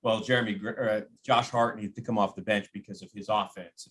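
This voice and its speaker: A man's voice: fairly low pitch, steady tone, brisk, a bit bright, a little hiss or hum. A man talking basketball as a radio announcer guest, conversational and emphatic, repeating phrases for stress and voicing imagined quotes. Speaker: sports radio play-by-play announcer, podcast guest. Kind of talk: animated basketball analysis in casual conversation.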